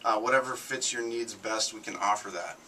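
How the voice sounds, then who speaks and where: A man's voice: on the low side, steady tone, a little fast, sharp tone. An American man speaking as a guest on a radio show, explaining a business service in a matter-of-fact, unscripted way. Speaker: guest, local advertising business representative. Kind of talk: unscripted explanatory interview talk. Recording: noisy, distant-sounding radio show capture.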